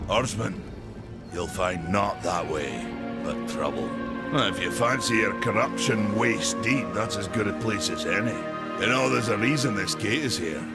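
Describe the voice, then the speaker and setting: An actor playing a weary old male warrior who guards a gate, gruff, rustic and wry, with game music behind him. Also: on the low side, a little slow. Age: older.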